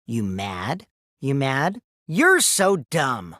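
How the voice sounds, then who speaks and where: A man's voice: medium pitch, wildly swooping pitch, very slow, no echo, clean studio sound, full character voice. A man voicing a mischievous, childish teenage boy who lies and schemes, in a youthful, teasing, theatrical video game performance. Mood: low, sinister. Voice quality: boyish, youthful put-on voice. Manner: teasing, theatrical, swinging from singsong to hushed menace. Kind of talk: English video game voice acting.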